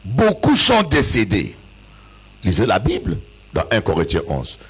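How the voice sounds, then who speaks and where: A man from Africa preaches to his congregation with a husky, slightly rough voice. His delivery swings widely in pitch, climbing into sing-song peaks and occasional shouts before dropping low again. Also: huge pitch swings, unhurried. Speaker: bishop and pastor. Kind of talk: sermon. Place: church service with an audience.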